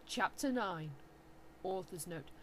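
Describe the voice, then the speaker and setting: A woman's voice: lowish voice, animated, slow, slight background noise. A woman giving a theatrical, mock-serious dramatic reading of fan fiction as narrator. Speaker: reader.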